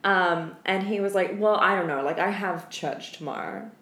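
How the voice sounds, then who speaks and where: A woman chatting casually as a podcast co-host, telling stories in an animated, slangy way and often laughing. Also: fairly low pitch, normal speed. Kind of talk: casual chat, storytelling. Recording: roomy, echoey room.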